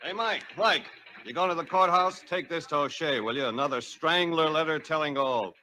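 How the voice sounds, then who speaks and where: A man's voice: on the high side, moderate pace, pushed and bright, soft background music. An actor playing an exasperated, wisecracking newspaper man, calling out sarcastically in a 1940s radio drama. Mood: exasperated, sarcastic. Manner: gruffly sarcastic, calling out to a colleague.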